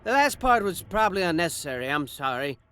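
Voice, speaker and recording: A man's voice: on the high side, big pitch swings, fast, slight background noise. A man narrating a game in a theatrical comic style, full of sarcastic asides and silly sound effects. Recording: game audio with loud music.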